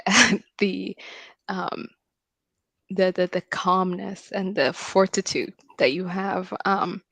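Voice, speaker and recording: A woman with an Arabic accent calling into a livestream, talking haltingly with many pauses and small laughs. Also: mid-range voice, dry recording. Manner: hesitant, with frequent laughs and ums. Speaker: caller. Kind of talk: casual call-in chat.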